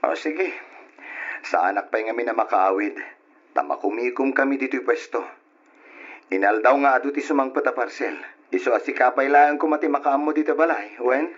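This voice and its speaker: Husky humble working father played by a man. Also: mid-range voice, moderate pace, natural voice. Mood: earnest, caring. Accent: Ilocano. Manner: earnest, grateful. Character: humble, hardworking father working away in the city. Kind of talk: Ilocano old-time radio drama acting. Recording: dull lo-fi old radio drama recording.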